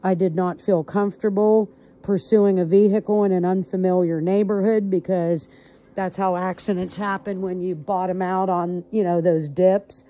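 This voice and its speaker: A woman caller on a recorded call, recounting events to law enforcement step by step in a deliberate, emphatic manner. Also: fairly low pitch, fairly even intonation, warm tone.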